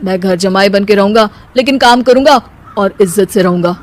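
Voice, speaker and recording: A man's voice: sits high, moderate pace, close to an everyday voice. A voice actor playing a lazy young husband in a cartoon who lives off his in-laws and recites rhyming couplets with comic flourish. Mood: apologetic. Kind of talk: cartoon voice acting.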